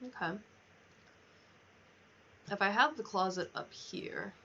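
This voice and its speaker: Casting: female game streamer, mid pitch, casual thinking-aloud mutter, muffled lo-fi recording with noise behind. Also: expressive, slow pace, bright, forceful tone. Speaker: livestreamer. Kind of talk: casual chat while playing a video game. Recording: dull lo-fi mic, clear background noise.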